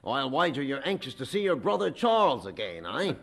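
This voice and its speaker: Radio drama sailor: husky, wildly swooping delivery, chatty and sly, folksy grammar. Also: male voice, mid-range voice, sing-song, brisk. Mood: chatty, teasing. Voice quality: gravelly, husky. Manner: folksy, ungrammatical, teasing seafaring talk. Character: chatty, sly, knowing old-time working sailor.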